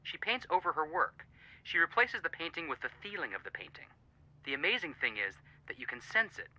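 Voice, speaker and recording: An actor playing an earnest, excitable male detective friend with a punning streak in a video game, dramatic, heard through a phone filter. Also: on the high side, a little fast, highs cut off, full character voice. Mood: earnest, enthusiastic.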